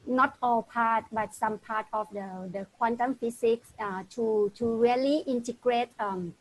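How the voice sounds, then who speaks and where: A woman who is a lecturer and doctoral student, tired late at night, hesitantly describing her research on a video call and filling pauses with many ums. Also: a little high, steady tone, rough recording. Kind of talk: introducing her research in an online meeting.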